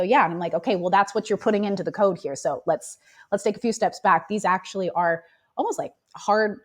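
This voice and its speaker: A woman guest with a cold and a raspy voice, explaining her field in a thoughtful, casual interview. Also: mid-range voice. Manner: thoughtful, explanatory, laughs easily. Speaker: AI ethicist guest.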